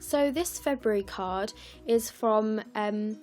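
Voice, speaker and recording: A young woman from the UK, croaky and scratchy, chatting through her scrapbooking as a casual voice-over, with lots of ums and apologies. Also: mid-range voice, slow pace, a little mellow, soft background music. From United Kingdom. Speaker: scrapbooking vlogger. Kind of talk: casual process video voice-over.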